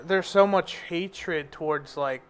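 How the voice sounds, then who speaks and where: A man's voice: fairly high pitch, takes it slowly. A young man who grew up in India, chatting casually and bluntly in informal slang. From born in America, grew up in India. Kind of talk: casual chat. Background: music.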